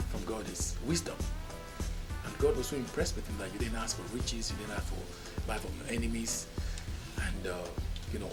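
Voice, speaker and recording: A middle-aged man from Nigeria answers interview questions at a steady, measured pace, lifting his voice now and then for emphasis. His voice sits a little low. Music plays clearly underneath him. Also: rough recording. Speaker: cardiologist interviewee. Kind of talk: interview answers, personal story.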